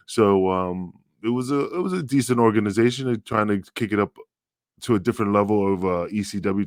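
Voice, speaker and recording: A man with a fairly low voice hosts a laid-back podcast chat. He talks loosely, leaning on fillers and hype-man flourishes. The sound is dry and close, with no room around it. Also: no room sound. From United States. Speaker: podcast host, former wrestler.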